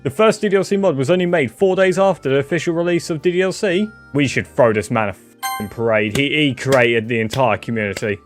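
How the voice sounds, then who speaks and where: A man's voice: mid-range voice, fairly quick, soft background music. A man giving casual, opinionated guest commentary in an informal, joking, sweary manner. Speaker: guest video contributor.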